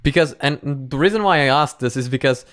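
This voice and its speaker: Rambling young man, crisp and dry. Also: medium pitch, fairly bright tone, no echo. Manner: rambling, halting, informal swearing. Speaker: reaction video host. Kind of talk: casual reaction commentary and analysis.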